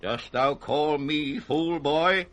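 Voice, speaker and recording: A man's voice: fairly high pitch, slow, fairly bright tone. An actor playing a proud, testy aging king in radio Shakespeare, grand and declamatory. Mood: indulgent, testy. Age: older. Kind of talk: 1960s British radio Shakespeare acting. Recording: vintage 1960s radio drama recording.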